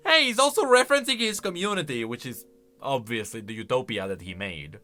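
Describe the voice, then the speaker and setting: A man giving casual reaction commentary on video game lore, expressive and emphatic as he thinks aloud. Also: medium pitch, a little fast. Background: music.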